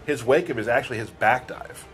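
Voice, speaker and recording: A man's voice: medium pitch, brisk, soft background music. A man in his thirties co-hosting a casual game livestream, chatting and putting questions to colleagues. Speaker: livestream co-host. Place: studio livestream set, indoors.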